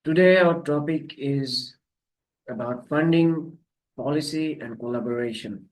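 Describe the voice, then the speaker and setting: A man hosting an online webinar, explaining its topics in a measured way. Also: on the high side, rough recording. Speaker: webinar host. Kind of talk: webinar presentation.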